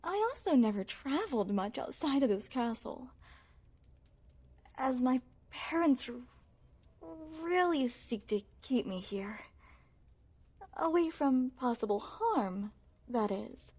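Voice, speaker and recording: Woman acting a soft-toned, unhurried regal young princess. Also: high voice, expressive, exaggerated voice. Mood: sweet, curious. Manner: formal, courtly, coy phrasing. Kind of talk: intimate ASMR roleplay acting.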